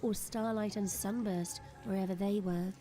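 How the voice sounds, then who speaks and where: A woman's voice: mid-range voice, fairly even intonation, normal speed, warm tone. A woman giving dramatic scripted narration as the narrator of an audio drama. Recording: music behind the voice. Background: clear background music.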